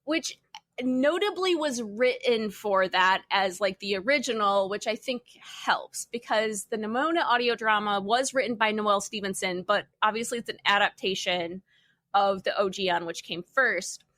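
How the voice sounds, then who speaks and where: A young adult woman chatting casually as a podcast panelist, telling things in an informal, wry and animated way. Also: medium pitch, moderate pace, no echo, studio quality. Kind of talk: casual chat.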